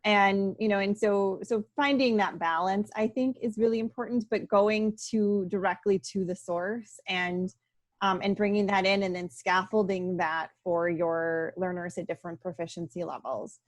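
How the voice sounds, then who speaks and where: A woman language teacher on a video call panel, earnest and filling pauses with um and you know. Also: medium pitch, steady tone, no echo. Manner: earnest, with frequent ums and you-knows. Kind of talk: webinar panel discussion.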